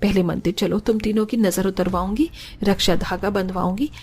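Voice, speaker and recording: A woman's voice: mid-range voice, steady tone, moderate pace, darkish tone, a little hiss or hum, voice pushed down, lightly acted. Earnest, devout mother-in-law acted by a woman. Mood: joyful, devout. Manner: soothing, earnest.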